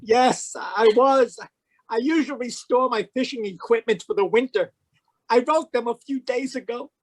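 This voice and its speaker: A woman's voice: fairly high pitch, normal speed, natural voice, poor audio. A woman playing an anxious husband protesting his innocence in old-time radio mystery style. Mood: shaken. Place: live video call at home. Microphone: video call.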